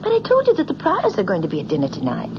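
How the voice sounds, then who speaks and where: An actress playing a loving, placating young fiancée and professor's daughter in an old-time radio drama. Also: female voice, medium pitch, wildly swooping pitch, racing. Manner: soothing, affectionate. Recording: muffled, noisy old radio recording.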